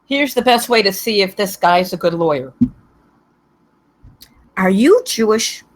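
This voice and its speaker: A woman's voice: mid-range voice, expressive, unhurried, close to an everyday voice. Cranky old grandma, blunt and opinionated, acted by a woman, for a comedy script read. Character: bigoted, cranky old grandmother landlady, nostalgic for 1964.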